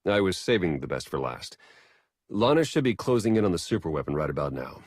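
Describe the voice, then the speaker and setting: Wry spymaster advisor, expressive lowish voice. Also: male voice, fairly quick, husky, no echo, full character voice. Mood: dry, reporting. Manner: dry, casual, teasing. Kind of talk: video game cinematic acting.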